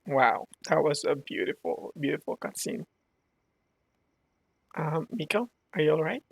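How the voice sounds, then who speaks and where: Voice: mid-range voice, expressive, unhurried, vocal fry, breathy edge, no room sound. A gaming video host giving casual, chatty commentary and reacting aloud while playing. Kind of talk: casual game playthrough commentary.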